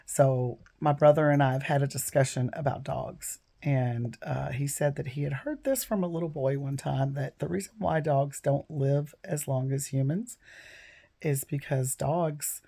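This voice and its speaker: A woman in her fifties talks to camera from home, her voice froggy and hoarse from a cold. Her tone is dark and mellow, with a rough gravel underneath. She rambles earnestly and keeps drifting into side stories. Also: very deep voice. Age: 50s. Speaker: vlogger. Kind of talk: casual storytelling to camera.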